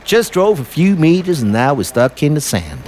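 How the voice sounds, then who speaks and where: A man's voice: fairly high pitch, big pitch swings, moderate pace, darkish tone, slight background noise. A man narrating a travel film as a voice-over, in a tongue-in-cheek, enthusiastic way. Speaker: narrator of a band promotion road trip.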